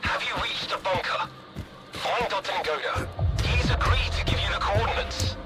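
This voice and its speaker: Man acting nervy bossy handler guy in a game, high voice, urgent, music blasting behind. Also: moderate pace, close to an everyday voice. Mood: impatient. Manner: urgent, jittery, demanding. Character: anxious, bossy male mission handler. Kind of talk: video game cutscene acting.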